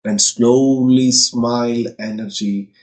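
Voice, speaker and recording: A man's voice: medium pitch, steady tone, darkish tone. Indian man leading guided meditation. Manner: measured short phrases, repetitive, incantatory.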